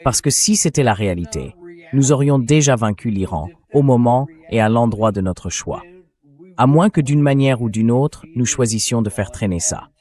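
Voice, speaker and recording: A man's voice: mid-range voice, expressive, moderate pace, warm tone. An AI-voiced man speaking as a talk show host, giving dubbed political commentary. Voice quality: synthetic AI voice.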